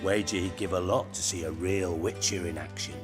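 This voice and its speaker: A male actor plays a cunning, gruff crime boss from a fantasy video game, middle-aged and low-voiced. His speech is blunt and wryly sardonic, ringing in a long hall reverb with music clearly behind it. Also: steady tone, a little fast, natural voice. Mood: businesslike, gruff. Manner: blunt, wry, salty-tongued.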